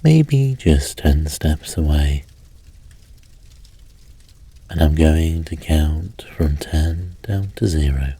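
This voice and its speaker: A man guiding sleep hypnosis in a soft, breathy voice, calm and gentle, with measured pauses. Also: extremely low pitch. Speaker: hypnosis and meditation host. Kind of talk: guided sleep hypnosis, slow soothing narration.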